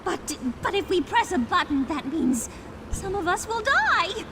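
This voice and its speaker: Panicky, piercingly high frightened pony. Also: female voice, very animated, unhurried, noisy background, full character voice. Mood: terrified. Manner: panicky, pleading. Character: frightened, timid female pony in peril. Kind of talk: dramatic fan fiction reading.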